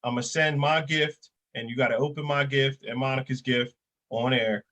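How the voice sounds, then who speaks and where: A man's voice: mid-range voice, fairly even intonation, normal speed, fairly bright tone. A man in his thirties hosting a casual podcast chat, talking bluntly and animatedly and swearing freely. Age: 30s. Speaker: podcast host.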